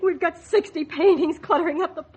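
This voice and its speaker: An actress playing a doubtful, meek wife in a 1940s radio drama. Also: female voice, extremely high pitch, brisk. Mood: doubtful, surprised. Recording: muffled vintage 1940s radio recording.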